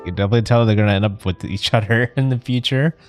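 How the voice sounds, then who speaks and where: A man's voice: fairly low pitch, fast talker. A man streaming a video game, giving casual let's play commentary. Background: faint game music.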